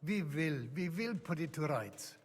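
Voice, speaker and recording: A man playing a meek, apologetic royal official in a video game, husky and placating. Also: fairly high pitch, animated, unhurried. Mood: anxious, placating. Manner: hesitant, placating. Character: meek, apologetic official answering to his king. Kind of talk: video game drama acting. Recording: long hall reverb.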